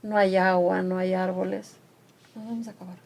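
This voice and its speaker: Older woman, animated radio guest. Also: fairly low pitch, normal speed, vocal fry. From Mixe region of Oaxaca, Mexico. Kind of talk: conversational radio talk.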